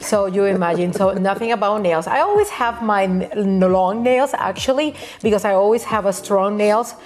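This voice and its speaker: Puerto Rican woman, halting and laughing. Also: medium pitch, a little mellow. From Puerto Rico. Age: middle-aged. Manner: halting, frequent restarts, laughs often. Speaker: guest, nail educator. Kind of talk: casual interview chat.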